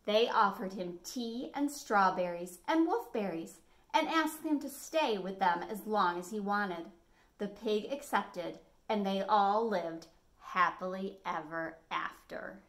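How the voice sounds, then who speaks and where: An American woman in her forties reading a picture book expressively as a storytime host, phrasing clearly for children. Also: medium pitch, lively intonation, slow pace. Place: small living room at home, indoors.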